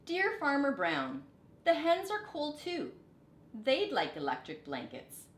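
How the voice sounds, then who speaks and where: Storybook cow played by a woman: courteous yet firm, formal, melodic swings, measured pace in an echoey room. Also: sits high, huge pitch swings, voice pushed up, heavily acted. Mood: polite, firm. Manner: deliberate, formal letter-reading. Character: polite but firm cows writing demands. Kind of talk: picture-book read-aloud. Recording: roomy echo, background noise.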